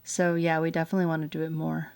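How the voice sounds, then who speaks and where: A woman's voice: low-pitched, completely flat delivery, very fast, gravelly, darkish tone, clean tone. A woman co-hosting a family show as a parent, speaking in a casual, conversational style.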